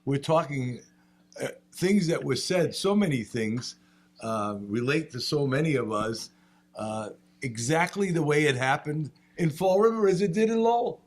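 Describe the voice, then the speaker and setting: A man, speaking on a panel and telling family stories with animated humor. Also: on the high side, unhurried. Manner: animated storyteller, laughs at own jokes. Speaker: state representative. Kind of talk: panel remarks with anecdotes.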